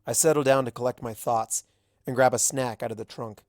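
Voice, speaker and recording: A man narrates a first-person story in a middle-pitched voice. It is scripted reading, recorded close and dry with no room sound. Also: steady tone, fairly quick, a little mellow, dry recording. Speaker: fan fiction reader.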